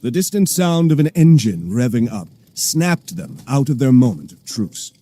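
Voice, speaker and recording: A man's voice: medium pitch, very animated, moderate pace, dark tone. A man narrating an action audio drama from a script in a dramatic storytelling style.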